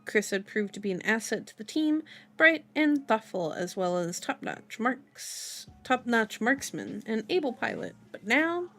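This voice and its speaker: A woman's voice: a little high, big pitch swings, a little slow, fairly bright tone. A woman streamer reading a novel aloud in a casual ASMR style, playful and chatty, stumbling over the odd word. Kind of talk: casual ASMR read-aloud with asides and acted dialogue. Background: music behind the voice.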